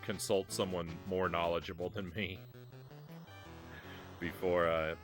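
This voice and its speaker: A man's voice: low-pitched, flat delivery, unhurried, over music. A man streaming a retro game live, chatting casually and self-deprecatingly, often restarting his sentences. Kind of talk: casual live-stream chat while gaming.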